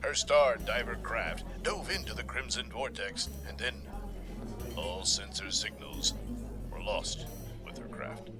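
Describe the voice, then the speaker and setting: A man's voice: fairly low pitch, unhurried, loud music behind the voice, natural voice. A man playing an urgent, melodramatic newscaster in a space-opera radio play. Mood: urgent, dramatic. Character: urgent, dramatic male news-flash announcer. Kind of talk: melodramatic broadcast news parody.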